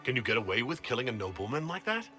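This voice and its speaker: A man's voice: medium pitch, very animated, fast, sharp tone, faint music behind. A man playing a blunt, self-possessed and coldly ruthless leader in a video game, speaking directly and tersely. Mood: probing. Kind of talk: video game cutscene acting.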